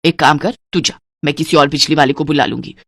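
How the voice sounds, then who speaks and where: A woman's voice: sits low, fairly even intonation, a little fast, fairly bright tone, husky, no room sound, studio quality, voice pushed down, exaggerated voice. A woman voicing a stingy, scolding middle-aged mother-in-law in a cartoon moral story, bossy and grumbling. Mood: stern.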